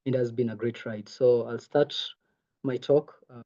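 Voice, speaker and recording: A man's voice: medium pitch, fairly even intonation, measured pace, mellow. A young man from Kenya whose first language is Maasai, giving an earnest online presentation over a video call with many ums. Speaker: intern presenter. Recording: muffled video call audio.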